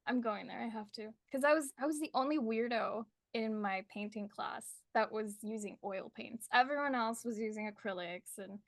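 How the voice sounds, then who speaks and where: A young woman from Colombia with an American accent, a painter chatting as a podcast guest, friendly and quick to laugh. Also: fairly high pitch, no room sound, clean studio sound. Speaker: artist guest. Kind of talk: casual interview chat. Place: her art studio.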